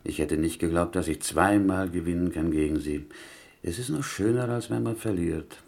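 In a radio drama, a gentle, wily butcher from Poland. His voice is low and gravelly. He muses and rambles piously, turning his sentences around in a Yiddish-style word order. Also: normal speed. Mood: modest, pleased. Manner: inverted Yiddish-style syntax, musing, rambling storyteller. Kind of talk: German radio play acting.

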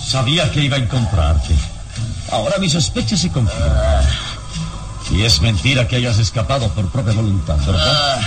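An actor playing a brave, calm, protective adventure hero in a vintage radio serial, with a solemn, deliberate delivery. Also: male voice, medium pitch, natural voice. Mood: urgent, soothing. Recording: radio serial, distant, music behind.

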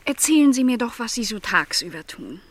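Earnest, breathy young housemaid. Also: female voice, on the high side, very animated, brisk. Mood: earnest, probing. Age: young adult. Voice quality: breathy, often near a whisper. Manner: soft, insistent questioning. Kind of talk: literary radio drama dialogue.

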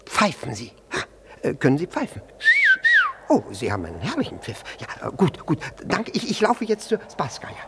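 Stammering, flustered middle-aged gentleman, swooping voice. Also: on the high side, huge pitch swings, normal speed, very noisy. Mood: agitated, dithering. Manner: stammering, hesitant, repeats words, fussily polite. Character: flustered, jealous, nervously polite husband in Petersburg. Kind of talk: German radio play acting.

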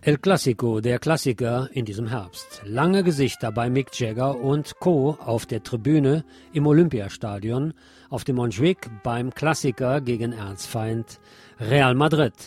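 Husky man presenting radio news. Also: medium pitch, a little slow, a little mellow, faint music behind. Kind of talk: scripted magazine news narration.

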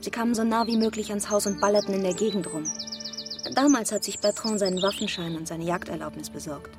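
A woman plays an earnest, politically aware village mother in a radio thriller. Her medium-pitched voice is explanatory and slightly wry. Music can be clearly heard behind her. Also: fast, lightly acted. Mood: earnest, bitter. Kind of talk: German radio crime drama acting.

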